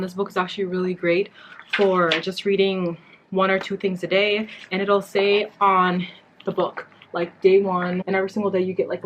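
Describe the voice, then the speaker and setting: A woman's voice: lowish voice, fairly bright tone, a little hiss or hum, rough recording. A young woman whose first language is Somali, vlogging earnestly to her viewers and often calling them "you guys.". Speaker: beauty and lifestyle vlogger. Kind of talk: casual talk to camera.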